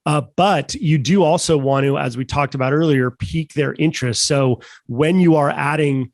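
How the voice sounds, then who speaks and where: A man with a croaky voice talking on a sales panel in a relaxed, measured way, with plenty of fillers. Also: fairly high pitch, dry recording, clean studio sound. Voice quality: croaky, gravelly. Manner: measured, folksy, with many fillers. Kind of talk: casual panel discussion.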